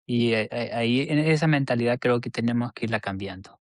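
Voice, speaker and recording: A man's voice: medium pitch, fairly quick, no echo, clean studio sound. A man from El Salvador, a podcast guest who talks in a hesitant, earnest way and often restarts his sentences. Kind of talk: casual interview chat.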